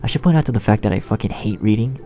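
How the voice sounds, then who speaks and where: A man narrating fan fiction in outtakes, halting and restarting, swearing at his own slips in a self-deprecating way. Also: fairly low pitch, lively intonation, slight background noise. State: shivering from cold. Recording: dull lo-fi sound, loud music. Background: loud music.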